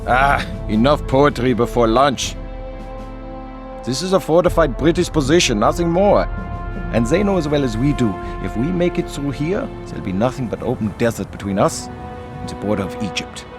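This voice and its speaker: An actor playing a brash, sardonic officer in a video game. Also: male voice, mid-range voice, animated, moderate pace, over music, close to an everyday voice. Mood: dismissive. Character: brash, unsentimental German Afrika Korps officer, jovially sardonic. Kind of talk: video game cutscene acting.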